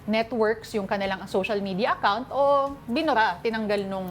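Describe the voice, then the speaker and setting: A woman's voice: a little high, moderate pace. A woman with a Filipino accent co-hosting a news talk show, reading reports and commenting on them casually. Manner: conversational, switches between reading items and commenting. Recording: clearly noisy background ambience.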